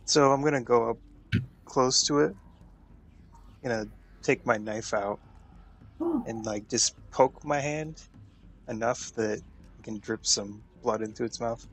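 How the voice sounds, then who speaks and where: A man's voice: medium pitch, expressive, a little slow, pauses often, a bit bright. A man chatting casually as a player in a tabletop role-playing game. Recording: faint room noise.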